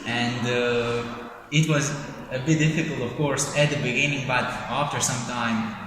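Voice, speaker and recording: A young man from Croatia with a Croatian accent, an exchange student answering interview questions in halting English with many restarts. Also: medium pitch, steady tone, fairly bright tone. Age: young adult. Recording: echoey hall with background noise.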